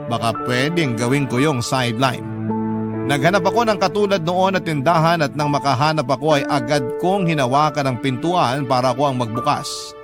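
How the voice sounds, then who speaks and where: Male Filipino narrator for scripted radio drama, first-person storytelling, mid-range voice over clear music. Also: mid-range voice. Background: background music.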